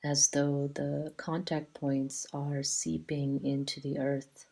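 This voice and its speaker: A woman teaching a guided yoga relaxation in a soothing, measured way, leaving long pauses between short phrases. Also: sits low, little pitch movement, gravelly, warm tone.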